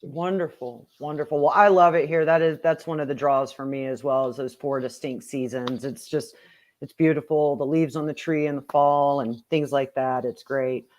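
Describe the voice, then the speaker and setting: A woman's voice: sits low, steady tone, normal speed, a little mellow. Enthusiastic woman hosting. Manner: enthusiastic, laughs readily, restarts phrases. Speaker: host. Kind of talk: hosting a casual interview.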